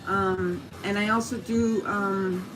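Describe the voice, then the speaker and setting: A woman's voice: medium pitch, fairly even intonation, slow pace, over music. A woman with a Mexican American accent, chatting in a meandering way on a casual livestream, with lots of fillers and restarts and greetings to viewers. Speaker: YouTube live streamer. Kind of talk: casual rambling livestream chat. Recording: noisy livestream audio.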